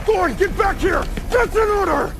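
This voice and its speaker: An actor playing a commanding squad leader who urgently barks orders in a sci-fi game battle. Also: male voice, extremely high pitch, normal speed, heavy background noise. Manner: barking orders. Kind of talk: sci-fi game cinematic acting.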